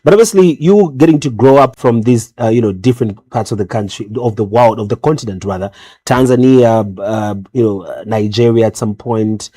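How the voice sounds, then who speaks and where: A man hosting a breakfast talk show, asking questions casually and listening with steady backchannel murmurs. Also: medium pitch, normal speed, no room sound. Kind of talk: casual interview.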